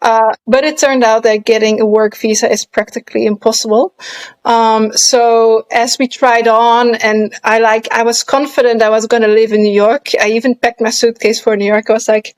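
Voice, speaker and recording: A woman's voice: a little high, flat delivery, normal speed, smooth, low-quality recording. Dutch woman chatting in English. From Netherlands. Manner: chatty, searches for English words, many fillers. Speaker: guest, pole dancer and movement artist. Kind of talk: casual interview chat.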